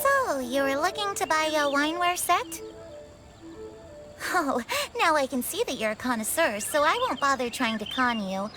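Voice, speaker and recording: A man voicing a polite, persuasive shop clerk in a video game, with a smooth sales manner. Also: very high voice, animated, moderate pace, over music.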